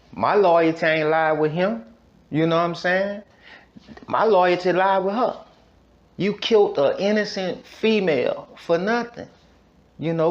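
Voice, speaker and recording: A man's voice: high voice, muffled recording. An American man telling a personal story casually, in an emphatic, repetitive way full of street slang and check-in phrases. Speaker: podcast storyteller.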